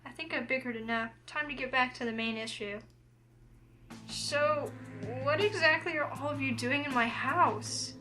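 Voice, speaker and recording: A woman's voice: high voice, normal speed, faint music behind. A woman playing a feisty, easily flustered young heroine in a visual novel, speaking expressively. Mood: firm, calmer. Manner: expressive, indignant when provoked. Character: feisty, flustered young woman narrating her own romance.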